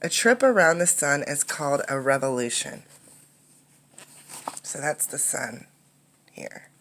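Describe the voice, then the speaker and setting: A woman reading a picture book aloud as a teacher, in an instructive way, pausing to explain and ask questions. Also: low voice, big pitch swings, fairly bright tone, slight background noise.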